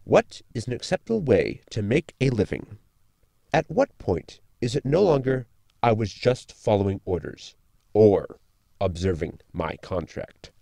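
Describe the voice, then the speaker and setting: An actor playing an erudite, pedantic crew member in a science fiction audio sitcom, speaking in a formal, lecturing way. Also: male voice, fairly low pitch, big pitch swings, measured pace, creaky, warm tone, no echo, studio quality, heavily acted. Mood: dry moralizing.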